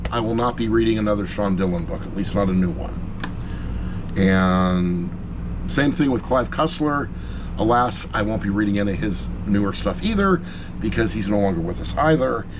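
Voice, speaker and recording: A man's voice: medium pitch, noisy background. A middle-aged man giving a casual, opinionated review to camera, speaking informally and emphatically with repetition and some mild swearing. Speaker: audiobook reviewer.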